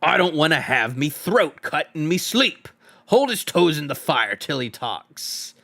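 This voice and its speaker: Quarrelsome troll, male, coarse talk, bullying snarl, bright forceful edge, played by a man. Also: fairly high pitch, sing-song, measured pace, full character voice. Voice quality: rough, coarse common speech. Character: mean, quarrelsome male troll, hungry and suspicious.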